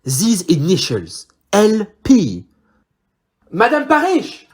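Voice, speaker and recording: A performer playing a courteous, shrewd Belgian male detective with a French-speaking Belgian accent, husky voice and formal, theatrical manner in an audio play. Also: medium pitch, wildly swooping pitch, slow, darkish tone, heavily acted. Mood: excited. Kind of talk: amateur audio play acting.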